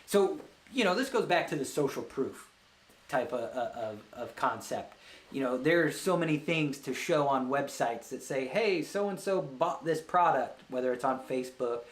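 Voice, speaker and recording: A man's voice: medium pitch, animated, normal speed. A man hosting a business book read-along, explaining ideas casually and thinking aloud in a rambling way. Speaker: host of a business book read-along show, web agency owner. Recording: roomy, echoey sound.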